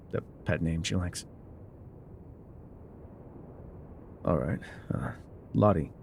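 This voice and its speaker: Man acting a gruff low-voiced loner guide. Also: a little mellow, slight background noise, heavily acted. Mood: gentle, reassuring. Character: gruff, wry loner man guiding a rider on foot. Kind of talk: ASMR roleplay voice acting.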